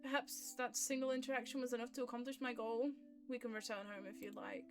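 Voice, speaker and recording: A woman's voice: a little high, steady tone, quick pace, music in the background, lightly acted. A woman voicing a suave, possessive man from a visual novel, with a haughty, theatrical, formal delivery. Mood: smooth, seductive.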